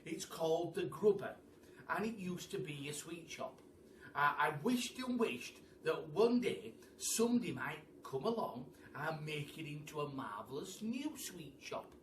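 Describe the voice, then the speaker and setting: A man's voice: a little high, lively intonation, measured pace. A man voicing a polite, earnest young boy in a storybook, speaking with hesitant, wistful politeness. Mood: hopeful, hesitant. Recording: echoey room, distant mic, faint noise.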